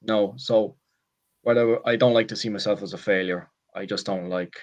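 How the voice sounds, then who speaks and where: A young Irish man in his twenties with a lowish voice. He chats as an interview guest, circling his point with restarts and fillers like "look" and "I suppose", and pokes fun at himself. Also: steady tone, moderate pace, highs cut off. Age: 20s. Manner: rambling, self-deprecating, frequent restarts and fillers like "look" and "I suppose". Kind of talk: casual interview chat.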